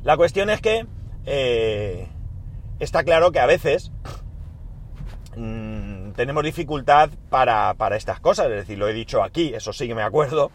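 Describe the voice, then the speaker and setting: A man, speaking at a medium pitch, records a casual audio diary inside his car. His throat is sore, and he clears it now and then. He thinks out loud with plenty of fillers and stops to correct himself. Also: lively intonation, moderate pace. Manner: rambling, self-correcting, thinks aloud with many fillers. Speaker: podcast host recording a daily audio diary. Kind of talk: casual spoken diary monologue.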